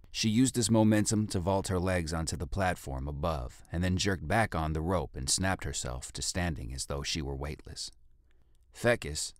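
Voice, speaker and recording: A man's voice: very deep voice, no room sound, studio quality. A man narrating fiction in a dramatic scripted audiobook style.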